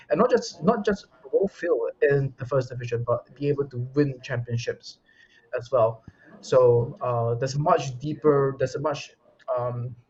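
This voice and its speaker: A man's voice: medium pitch, a little mellow, dull audio. A man who coaches esports, answering interview questions at length in an articulate, explanatory way, often restarting phrases. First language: English.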